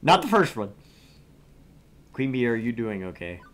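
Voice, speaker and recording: A man's voice: medium pitch, big pitch swings, unhurried, a bit bright. A man co-hosting a gaming stream, reading a visual novel aloud with casual asides.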